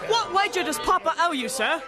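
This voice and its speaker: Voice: very high-pitched, animated, fairly quick. A performer playing a plucky, cheeky young boy in an audio drama, eager and defiant. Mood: polite. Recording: faint music and crowd behind.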